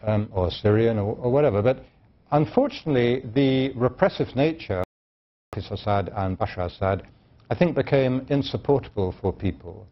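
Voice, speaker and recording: A man answering as a studio guest on a television call-in program, measured, with frequent restarts. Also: medium pitch, muffled recording. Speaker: studio guest, terrorism analyst.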